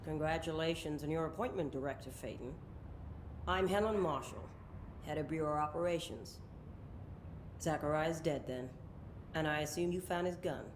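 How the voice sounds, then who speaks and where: An actress playing a brisk, no-nonsense head of operations in a video game, clipped and authoritative. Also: female voice, deep voice, slight background noise, natural voice. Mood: commanding. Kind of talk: video game cutscene acting.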